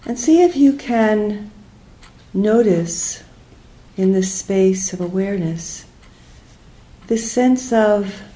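A woman leading a guided meditation as a teacher, speaking gently and unhurriedly in short phrases with pauses between them. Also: medium pitch, expressive, rough recording.

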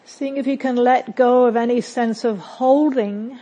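A woman leads a guided meditation at a very slow pace. Her voice sits a little on the high side. She speaks calmly and gently, in short phrases with long, unhurried pauses. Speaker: meditation teacher. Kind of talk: guided meditation, body scan.

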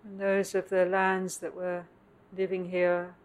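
A woman meditation teacher leading a guided meditation in contemplative, measured phrases with long pauses, recorded live at a meditation center. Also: lowish voice, completely flat delivery. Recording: clear background noise, live room ambience.

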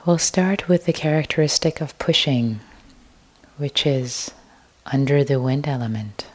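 A woman meditation teacher leading a guided meditation in a gentle, hushed way, leaving long pauses. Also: very deep voice, breathy edge.

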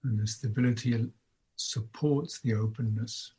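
Man, meditation teacher, very slow pace, husky tone, contemplative, long pauses, unscripted guidance. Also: medium pitch, steady tone, mellow. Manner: unhurried, contemplative, long pauses between short phrases. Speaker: Buddhist monk, meditation teacher. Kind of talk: unscripted guided meditation talk.

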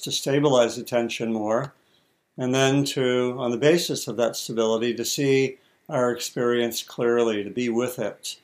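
A man teaching meditation, giving guided instructions in short, measured phrases with deliberate pauses between them. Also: mid-range voice.

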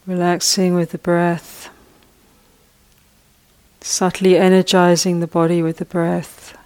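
A woman leads a guided meditation in a low, steady voice that barely rises or falls. She speaks very slowly and softly, leaving long pauses between her gentle instructions. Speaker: meditation teacher.

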